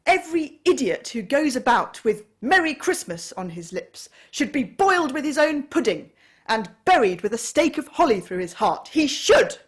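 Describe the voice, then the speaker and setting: A woman voicing a bitter, miserly old man who answers with loud, indignant outbursts. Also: mid-range voice, sing-song, unhurried, hardly any pauses, pitched above the performer's own voice.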